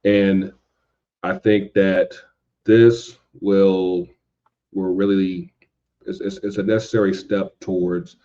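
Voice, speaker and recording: A man in a casual podcast discussion, speaking haltingly and circling back over his points as a guest commentator. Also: sits low, level tone, warm tone. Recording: dull, lo-fi voice track.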